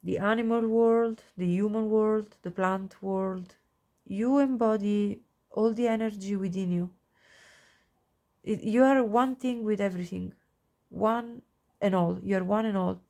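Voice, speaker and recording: A woman's voice: medium pitch, unhurried. Nasal woman reading tarot cards. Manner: soft, gushing, warm-hearted with frequent little laughs. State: nasal from a cold. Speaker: tarot reader. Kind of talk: pick-a-card tarot reading to camera.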